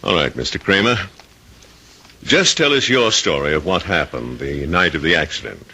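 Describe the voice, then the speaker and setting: An actor playing a formal, authoritative coroner in a radio drama, official and deliberate. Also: male voice, low voice, expressive, sharp tone, husky, heavily acted. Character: formal, authoritative coroner presiding over an inquest. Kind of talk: old-time radio drama acting.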